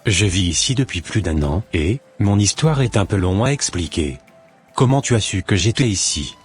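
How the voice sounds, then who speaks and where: Man voicing a low-pitched, devout, humble man. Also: lively intonation, moderate pace, husky, soft background music. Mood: grateful. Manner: pious, earnest, formal. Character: devout, humble formerly homeless man, earnest and grateful.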